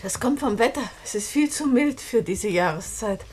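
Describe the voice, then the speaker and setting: An actress playing a put-upon, resentful niece in a radio play, speaking with a curt, dryly pointed manner. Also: female voice, fairly high pitch, expressive, moderate pace, a little mellow, a little hiss or hum, lightly acted. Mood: quietly resentful. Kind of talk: German radio play acting.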